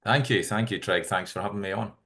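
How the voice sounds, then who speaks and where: A middle-aged Scottish man with a low-pitched voice speaks as an interview guest. He explains things in a measured, articulate way, in an easy conversational register. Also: a bit bright. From Scotland. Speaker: podcast guest, tech company CEO.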